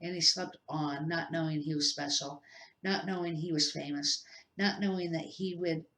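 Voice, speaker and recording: Older female storybook reader, lowish voice, unpolished halting delivery, echoey room. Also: steady tone, fairly bright tone. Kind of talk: read-aloud narration for children. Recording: echoey amateur room recording.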